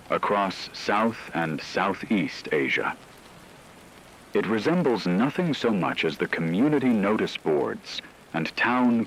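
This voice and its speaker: A man playing a grave, formal emergency radio newsreader, delivering scripted catastrophe reports in a measured, solemn manner. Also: deep voice, slight background noise, rough recording. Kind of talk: scripted dramatic news narration.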